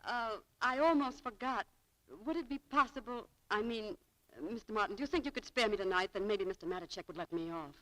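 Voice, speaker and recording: An actress playing a spirited, wheedling young shopgirl, quick to take offence, in 1940s radio style. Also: mid-range voice, sharp tone, close to an everyday voice, poor audio. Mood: eager, flattering. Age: young adult. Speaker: radio actress in a romantic comedy. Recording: vintage 1940s radio broadcast.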